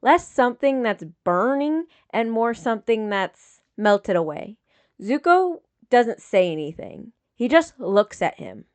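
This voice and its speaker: A woman reading dramatic fiction as a scripted narration, with measured, solemn phrasing. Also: fairly high pitch, big pitch swings. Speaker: audiobook reader of fan fiction.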